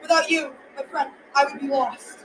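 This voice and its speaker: A woman's voice: high voice, fairly even intonation, normal speed, roomy sound, far from the mic, a crowd in the background, natural voice. A girl of about twelve or thirteen playing a brave but fearful young prince in a school radio drama, earnestly declaiming the lines. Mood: anxious. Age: child, around 12-13.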